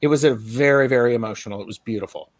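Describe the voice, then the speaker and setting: A man's voice: mid-range voice, racing, no echo. A middle-aged man co-hosting a casual chat, telling stories with small acted asides. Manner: chatty, storytelling with acted asides. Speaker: co-host, tarot reader.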